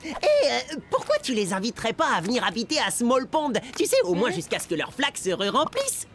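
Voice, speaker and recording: High, boyish, earnest cartoon kid. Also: female voice, very animated, brisk, soft background music. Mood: worried. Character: earnest, anxious young microbe schoolboy, loyal friend. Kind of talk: French cartoon dub acting.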